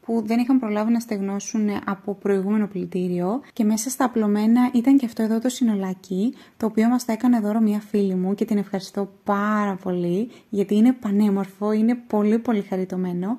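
A woman's voice: medium pitch, fairly even intonation, fast, hardly any pauses, warm tone. A young woman from Greece giving a chatty, homely voice-over for a cleaning vlog. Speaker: home vlogger.

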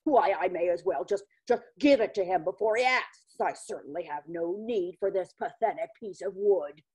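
A woman's voice: lowish voice, moderate pace, darkish tone, slightly rough, voice pushed down. A woman voicing a grumpy, greedy man in a children's story, blustering and dismissive. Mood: exasperated. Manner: exclaiming, grumbling, blustery.

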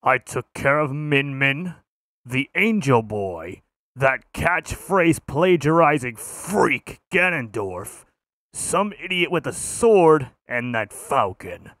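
A man's voice: mid-range voice, lively intonation, a bit bright, dry recording, clean studio sound. A man voicing an arrogant, cruel martial arts villain with demonic power, gloating with contempt in video game taunts. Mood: scornful, threatening. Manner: contemptuous, slow, deliberate gloating.

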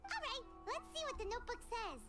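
A woman voicing a tiny, childlike floating fairy companion in a game, squeaky, chatty and bubbly. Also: animated, fairly quick. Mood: excited, nosy. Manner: bubbly, refers to self in third person. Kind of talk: English game dub acting. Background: loud game music.

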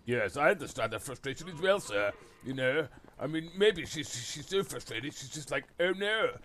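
A man voicing a pompous, monocled English gentleman who keeps saying "sir" and "I say". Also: on the high side, expressive, normal speed. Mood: fussy. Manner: pompous, says "I say" and "sir" constantly. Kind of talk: roleplay in a game.

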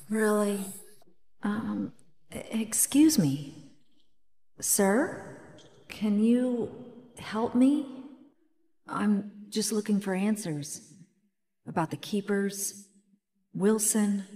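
A woman acting an earnest young heroine of a dark cartoon video game. She talks slowly and expressively, with determination, and her voice rings with long hall reverb. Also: mid-range voice, close to an everyday voice. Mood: tentative.

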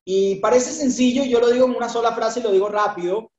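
A man's voice: extremely high pitch, fast talker, a little mellow. Venezuelan man on a roomy call. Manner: fluent explaining, frequent "este" fillers. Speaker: guest, entrepreneur. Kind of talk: interview answers. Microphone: webcam on a video call. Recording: roomy, slightly dull video-call audio.

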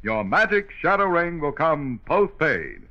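A man's voice: a little high, big pitch swings, measured pace. An American man delivering an enthusiastic vintage radio commercial aimed at children. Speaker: commercial announcer. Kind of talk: 1940s radio ad pitch. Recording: dull old radio broadcast recording.

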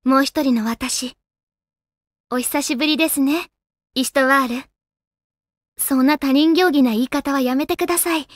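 A woman playing a gentle, solemn female guardian spirit in a Japanese video game, speaking politely and formally with composure. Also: high voice, normal speed, pauses often, dry recording, studio quality, natural voice. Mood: serene, warm.

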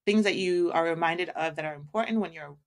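A woman's voice: fairly low pitch, brisk. A woman in her forties talking casually and reflectively as a podcast guest, thinking aloud with plenty of fillers. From Jamaica. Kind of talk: casual conversation.

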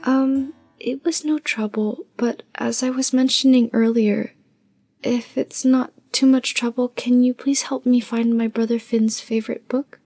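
A woman playing a polite, hesitant but stubborn young village girl in an animated film. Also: a little high, measured pace, no room sound, soft background music. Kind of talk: animated film character acting.

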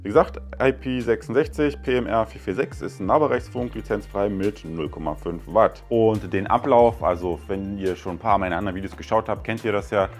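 A man's voice: fairly low pitch, a little fast, few pauses, faint music behind. A man in his thirties giving a casual, chatty product review at home, explaining step by step with a few fillers. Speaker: tech reviewer. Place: living room indoors.

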